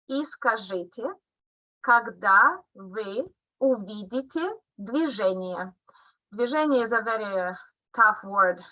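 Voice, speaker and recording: Slow, bright-voiced woman coaching on a call. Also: mid-range voice, no echo, poor audio. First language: Russian. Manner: slow, patient coaching with laughs. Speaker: physician teaching stroke exam phrases. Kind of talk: online teaching session. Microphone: computer mic on a video call.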